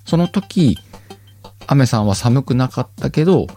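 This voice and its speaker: A man's voice: mid-range voice, animated, a little slow, mellow, soft background music. A man, hosting a casual chat show, reading listener letters aloud and chatting about them, often laughing. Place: home recording.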